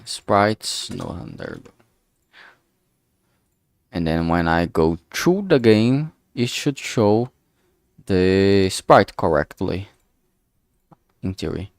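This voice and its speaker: A man thinking aloud in a halting way, chatting casually on a solo livestream as he works. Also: sits low, huge pitch swings, creaky, no echo, studio quality. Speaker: streamer making a visual novel. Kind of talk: casual solo livestream chat while working.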